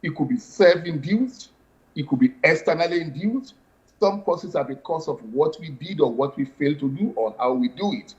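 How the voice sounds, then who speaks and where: Measured man, lo-fi sound. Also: fairly high pitch, expressive, a little mellow. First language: Yoruba. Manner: measured, explanatory, formal wording. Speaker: guest, spiritual practitioner. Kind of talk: interview answers.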